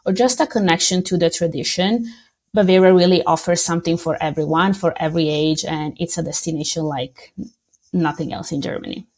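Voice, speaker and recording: A woman with a slightly low voice and talks through a relaxed interview. She hesitates, restarts and laughs lightly as she explains. The delivery is conversational. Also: brisk. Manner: hesitant with fillers, laughs often. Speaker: tourism board representative.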